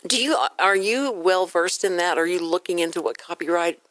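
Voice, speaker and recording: A woman hosting a talk show in a casual, chatty way, telling stories in an animated manner. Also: fairly low pitch, normal speed, no room sound.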